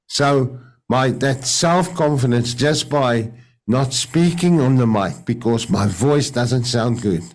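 A man's voice: medium pitch, expressive, darkish tone. A man with an Afrikaans accent whose first language is Afrikaans, giving a faith testimony on a video call and often stopping to search for English words. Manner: halting, searching for English words, many restarts. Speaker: radio presenter sharing testimony.

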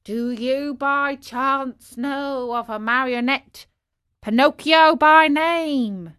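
A woman voicing a large, helpful male pigeon in a storybook, speaking in a measured, matter-of-fact, inquiring way. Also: sits high, a bit bright, dry recording, studio quality, voice pushed up. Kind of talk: storybook character voice.

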